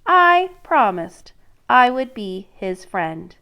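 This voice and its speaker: A woman's voice: on the high side, sing-song, slow, smooth, highs cut off, heavily acted. A woman voicing a petulant, reluctant young fairy-tale princess in an emphatic, protesting manner.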